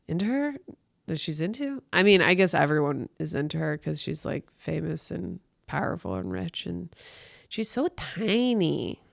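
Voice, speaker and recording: A woman's voice: fairly low pitch, gravelly, no echo, studio quality. A woman hosting a comedy podcast, riffing in a blunt, sweary, exaggerated and irreverent way. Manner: blunt, sweary, exaggerated, breaking into mock voices.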